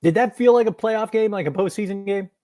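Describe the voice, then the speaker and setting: Higher-voiced man, dry close sound. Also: fairly quick, dark tone, no echo. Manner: conversational, follow-up questions. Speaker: podcast co-host. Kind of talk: interview questions.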